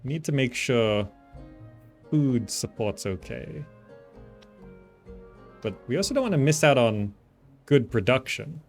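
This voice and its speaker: A man in an ordinary mid-pitched voice talks through a strategy game as he plays. He muses aloud and circles back over his own reasoning, casual throughout, with game music playing behind him. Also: expressive, takes it slowly, gravelly, pauses often. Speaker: gamer, channel host. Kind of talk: casual gameplay commentary, thinking aloud.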